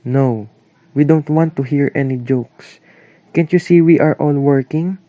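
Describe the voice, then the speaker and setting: A man's voice: a little high, measured pace, dry recording, narrow, tinny audio, natural voice. A man playing a grumpy, stern father in an amateur radio play. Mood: irritated.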